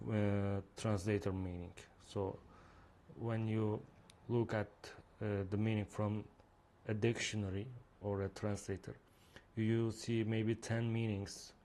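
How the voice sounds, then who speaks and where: Turkish guy demoing an app, lots of uh's, kinda low flat voice, bit of hiss behind. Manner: hesitant, many fillers. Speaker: app creator demonstrating his reading app. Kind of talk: screen-recorded software demo.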